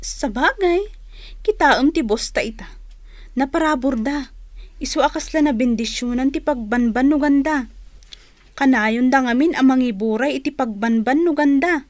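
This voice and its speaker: A woman's voice: sits high, normal speed, dry recording, lightly acted. An actress playing an earnest, eager young woman in an Ilocano radio drama, speaking expressively. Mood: gossipy, wistful. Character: young woman named Jenny, wistful, eager to help.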